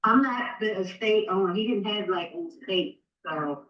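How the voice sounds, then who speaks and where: A woman's voice: medium pitch, animated, normal speed, fairly bright tone. Distant, echoey woman on the phone. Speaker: person called back about surplus funds. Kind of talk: informal phone conversation. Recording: distant, echoey, dull phone-call playback.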